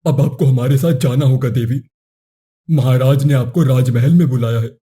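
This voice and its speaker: A man's voice: mid-range voice, moderate pace, dark tone, close to an everyday voice. A man voicing a courteous royal soldier in a cartoon story, formal and respectful. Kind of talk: cartoon moral story voice acting.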